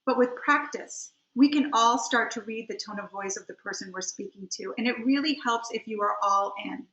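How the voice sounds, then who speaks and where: A middle-aged woman giving an instructional webinar talk as a coach, emphatic and slowing down to stress key phrases. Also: a little high, fairly bright tone. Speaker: webinar presenter, communication coach and trial attorney. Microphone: computer on a video call. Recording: roomy, slightly dull computer audio.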